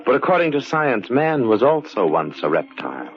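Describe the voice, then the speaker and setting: An actor playing the ominous narrator of a radio horror tale, portentous, deliberate and theatrical. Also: male voice, mid-range voice, sing-song, natural voice. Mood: brooding. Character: ominous, philosophizing male narrator of macabre tales. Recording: dull, lo-fi radio recording. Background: music.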